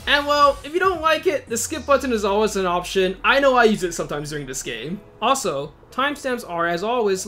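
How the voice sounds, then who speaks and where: A video host narrates a guide in a lively, swinging intonation. The delivery is scripted but casual, slipping into informal, conversational asides. Music plays clearly behind the voice. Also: mid-range voice.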